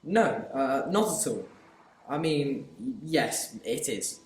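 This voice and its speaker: Timid stammering son, a schoolboy plays him, unhurried, swinging pitch, roomy sound. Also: male voice, animated. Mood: flustered. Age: teens. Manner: hesitant, stammering. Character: timid grown son, meek and flustered.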